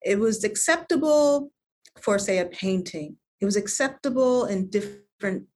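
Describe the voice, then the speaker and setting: A woman's voice: mid-range voice, lively intonation, normal speed, a little mellow. A woman from Connecticut, a designer being interviewed, speaking earnestly and often repeating words as she gathers her thoughts. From United States (Connecticut). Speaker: designer, guest. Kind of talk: reflective interview conversation.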